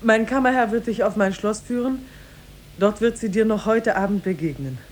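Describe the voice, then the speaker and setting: An actress playing a young woman who rules disguised as a king, formal and measured, in a 1950s German radio play. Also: lightly acted. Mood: regal, commanding. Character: young woman ruling disguised as a king, regal, secretly tender. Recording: 1950s radio recording with faint rumble.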